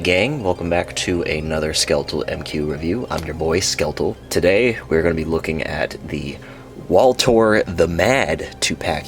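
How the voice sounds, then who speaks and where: Deep-voiced congested man, excited and chatty. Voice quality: nasal. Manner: chatty, excited, rambling with fillers. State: sick and congested. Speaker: toy reviewer, channel host. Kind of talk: casual unboxing review.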